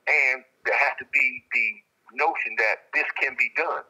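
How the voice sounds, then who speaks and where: A man's voice: medium pitch, measured pace, pushed and bright, husky. A man being interviewed over a telephone line, explaining his reflections emphatically and repeating phrases. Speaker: interviewed guest, prison inmate calling.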